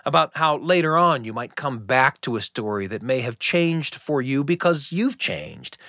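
A man hosting with scripted, reflective narration, speaking in a measured, thoughtful way. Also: medium pitch, a bit bright, no echo, studio quality. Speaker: host.

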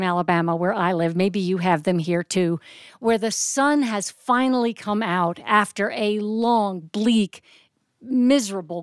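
An American woman telling a story live on stage in an expressive, descriptive manner with deliberate phrasing. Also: mid-range voice, smooth, no echo, studio quality. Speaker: storyteller and actress. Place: performance studio.

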